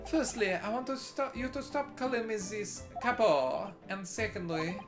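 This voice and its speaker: A woman's voice: medium pitch, normal speed, over music, natural voice. A woman playing a pompous, scheming French nobleman with a loose put-on French accent. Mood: indignant. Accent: put-on French, drifting towards Italian. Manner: grand, self-important.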